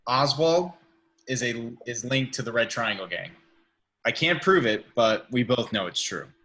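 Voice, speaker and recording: Guy reading firm principled billionaire, measured, bit confrontational, bright tense voice, amateur table read. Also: medium pitch, animated. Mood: firm, challenging.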